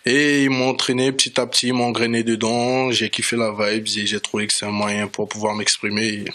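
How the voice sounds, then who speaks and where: A man's voice: on the low side, little pitch movement, brisk, sharp tone. A man from French Guiana, a reggae dancehall artist, talking casually as a guest in a radio studio interview.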